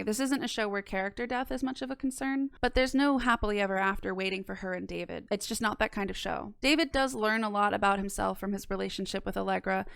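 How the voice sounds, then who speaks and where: A young woman narrating a video essay in a measured, conversational way with dry casual asides, close to a microphone in a home studio. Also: medium pitch, gravelly, smooth, no echo. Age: young adult. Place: small home studio room. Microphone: close microphone on boom arm.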